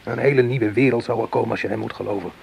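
An actor playing a weary, protective soldier corporal in a Dutch radio play, speaking in earnest, halting, soothing phrases. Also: male voice, medium pitch, fairly even intonation, vocal fry, darkish tone. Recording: noisy old radio play recording.

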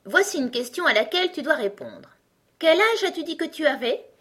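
A woman's voice: high-pitched, big pitch swings, fairly quick, a bit bright, pitched above the performer's own voice. A woman voicing a pompous, irritable male talking egg in a storybook, with a haughty, emphatic delivery. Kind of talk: storybook character acting.

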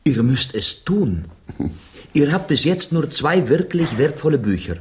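Passionate, animated Spanish gentleman. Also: a little high, a little slow. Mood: urgent. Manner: declamatory, sprinkles in Spanish exclamations. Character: passionate, philosophical Spanish gentleman of Shakespeare's day. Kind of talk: theatrical German radio drama acting.

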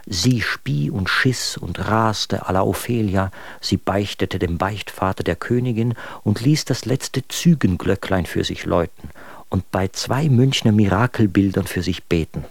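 A man's voice: on the low side, unhurried, gravelly, no echo. An actor playing an exasperated, mocking male letter writer, telling his story articulately and sardonically in a German radio drama. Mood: scornful, sardonic.

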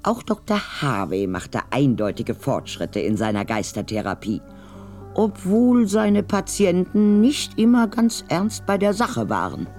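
Eine Frau erzählt in einem deutschen Hörspiel nach Manuskript. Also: female voice, lowish voice, big pitch swings, a little slow, a little mellow, faint music behind. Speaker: narrator. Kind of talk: scripted German audio drama narration.

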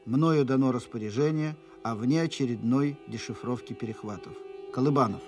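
An actor playing the formal, official voice of urgent military dispatches in a radio play. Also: male voice, mid-range voice, normal speed, a little mellow, soft background music, close to an everyday voice. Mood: official, stern. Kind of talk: dramatic document reading for radio play.

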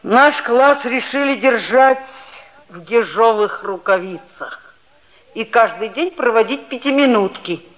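A woman's voice: fairly high pitch, expressive, a little slow. A woman playing a chatty, cheeky seventh-grade schoolboy who narrates a radio play. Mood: confiding. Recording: old muffled narrow-band radio play recording.

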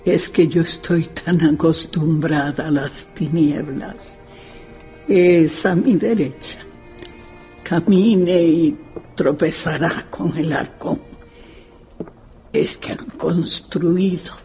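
An actress playing a frail, imperious old woman who gives halting orders in a radio drama. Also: sits low, warm tone, soft background music. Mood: commanding, brittle. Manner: slow, halting, commanding. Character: frail old widow, imperious, bitter, living in darkness.

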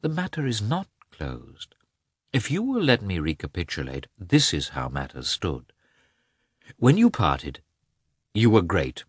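An earnest gentleman acted by a male narrator pleads effusively in an audiobook. His pitch leaps high and swoops widely, every appeal pressed with emphasis, in a husky voice. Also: dry recording, studio quality, heavily acted. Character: earnest, pleading, excitable gentleman begging for pity. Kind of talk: audiobook character voice.

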